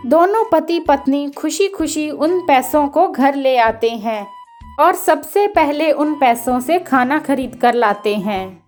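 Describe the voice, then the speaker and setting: A woman's voice: high-pitched, animated, slow, darkish tone, soft background music. A woman narrating a scripted children's story.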